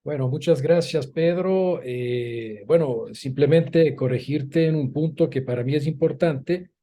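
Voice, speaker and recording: Italian-born older man, mellow voice. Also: a little high, a little slow. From Italy. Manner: thoughtful, hesitant, many restarts and fillers. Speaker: guest academic. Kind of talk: conversational interview answers.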